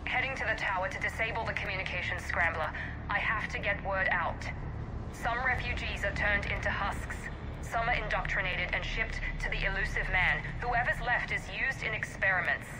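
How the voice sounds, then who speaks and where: An actress playing a crisp, urgent female operative in a video game. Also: mid-range voice, normal speed, background noise, close to an everyday voice. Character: sharp confident female operative.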